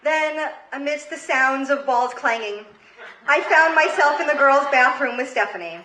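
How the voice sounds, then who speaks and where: High, bright-voiced woman telling stories on stage. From Queens, New York, United States. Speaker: comedy show host and storyteller. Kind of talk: live comedic storytelling read from a script. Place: live comedy stage before an audience. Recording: roomy live stage recording, faint noise. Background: audience ambience and faint noise.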